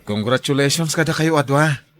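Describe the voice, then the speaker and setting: A man's voice: a little high, moderate pace, a bit bright, no room sound, natural voice. A man playing an emotional, remorseful brother in a radio drama, speaking in blunt exclamations. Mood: contrite, happy. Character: emotional, remorseful brother who lashes out at women.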